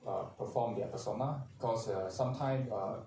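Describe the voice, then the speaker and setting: A man, a vtuber agency manager on a convention panel, speaking haltingly with many fillers. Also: fairly low pitch. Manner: halting, many "uh" fillers, non-native grammar. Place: large convention hall. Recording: echoey hall, distant mic, faint crowd noise.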